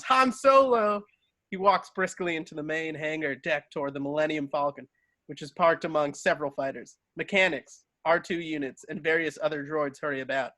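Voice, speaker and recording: A man's voice: on the high side, bright, forceful tone, no echo. A man reading stage directions as narrator in an amateur table read, now and then stumbling and correcting himself.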